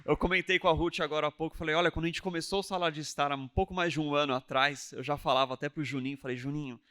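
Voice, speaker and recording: Man, church talk show host, effusive introductions, smooth clear voice, slightly high. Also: steady tone, racing, a bit bright.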